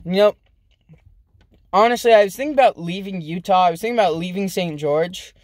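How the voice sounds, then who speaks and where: A young man rambling in a casual vlog from inside a car, halting and self-deprecating, with many restarts. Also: high-pitched, dry recording, studio quality. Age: young adult. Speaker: vlogger. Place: inside a parked car, daytime. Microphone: phone camera held close.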